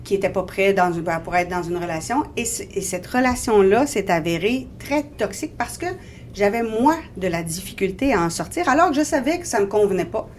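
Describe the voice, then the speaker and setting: A woman's voice: mid-range voice, animated, brisk, slight background noise. Earnest Québécois woman telling her story. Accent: Québécois French. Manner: earnest, explanatory, reflective. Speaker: guest author. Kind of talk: casual radio interview.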